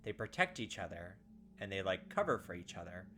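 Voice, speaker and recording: A man hosting a let's-play, switching between reading story text aloud and animated, puzzled commentary on it. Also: low-pitched, fairly quick, a little hiss or hum. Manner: animated, puzzled reactions and speculation, mimicking characters. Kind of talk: gameplay commentary mixed with reading visual novel text aloud. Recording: music playing behind the voice. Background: game background music.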